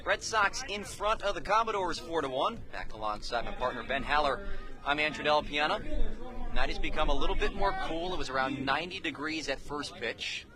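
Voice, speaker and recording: A man's voice: a little high, animated, fairly quick, a crowd in the background. A man calling live baseball play-by-play on the radio in clipped, descriptive phrases from an outdoor ballpark. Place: outdoor ballpark, beside the field.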